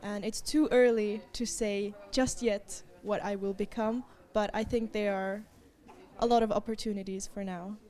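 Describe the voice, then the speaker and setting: A young woman student answering interview questions, thoughtful and measured, pausing often. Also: mid-range voice, fairly even intonation, warm tone. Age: young adult. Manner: thoughtful, with frequent pauses and fillers.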